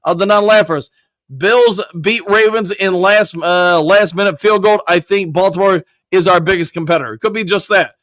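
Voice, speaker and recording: A man's voice: sits high, moderate pace, fairly bright tone, no echo. A man hosting a live stream, reading viewers' comments aloud and answering them upbeat, his voice nearly worn out. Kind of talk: casual live-stream chat.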